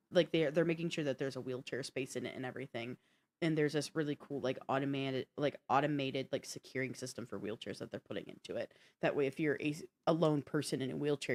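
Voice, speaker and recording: A woman's voice: extremely low pitch, a little mellow. A woman co-hosting a casual tech and games chat, speaking informally and thinking aloud with some slang. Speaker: podcast co-host.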